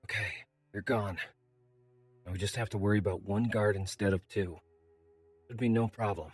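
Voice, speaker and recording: A man playing a brash, wry warrior in an audio drama, with a husky voice and a casual, blunt way of talking. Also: fairly low pitch, fairly even intonation, moderate pace, no room sound, faint music behind. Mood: low-key, teasing. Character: brash, wry, tough male adventurer, towering warrior.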